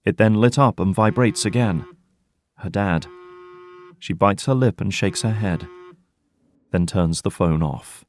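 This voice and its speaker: A man with a fairly low voice narrates an adventure audio drama. His delivery is scripted, deliberate storytelling with a dramatic edge. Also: a little hiss or hum. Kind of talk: dramatic scripted narration in present and past tense.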